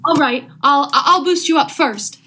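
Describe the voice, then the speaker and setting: A woman's voice: high-pitched, measured pace, background noise. A young woman acting an uneasy, grumbling male accomplice in vintage radio drama style, urgent and slangy. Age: young adult. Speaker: student actor.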